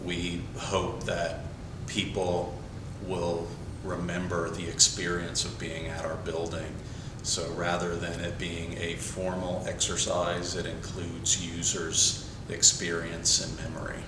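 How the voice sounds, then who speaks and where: A male architect talking in a documentary interview, measured, listing ideas one by one, recorded in an echoey room from a distance with background noise. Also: deep voice, monotone. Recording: echoey room, mic set far off, noisy.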